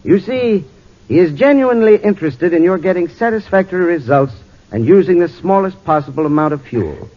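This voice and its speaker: A male spokesman delivers a vintage radio advert in a slightly high, very animated voice. His manner is folksy, courteous and persuasive, and the old recording sounds dull. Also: a little fast. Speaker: advertising spokesman, home heating expert. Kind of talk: scripted 1940s radio advertisement.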